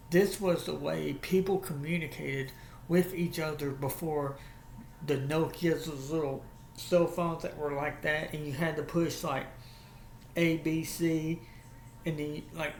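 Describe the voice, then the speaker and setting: A man with a Southern US accent casually showing and explaining an item as a video host, in a folksy, repetitive way. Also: a little high. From United States, Alabama. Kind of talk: casual vlog product show-and-tell. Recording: echoey room with faint background noise.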